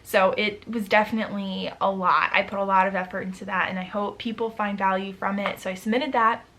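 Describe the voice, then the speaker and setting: A young woman vlogging casually to camera, chatty and upbeat, her voice a little hoarse from overuse. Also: medium pitch, flat delivery, a little fast, a bit bright, a little hiss or hum. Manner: chatty, upbeat, with frequent fillers. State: slightly hoarse, losing her voice. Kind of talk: casual vlog talk to camera.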